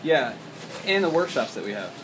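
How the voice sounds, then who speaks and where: A man's voice: on the high side, normal speed. A man working as a college instructor, speaking in a group discussion read aloud. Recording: noisy room with steady hum.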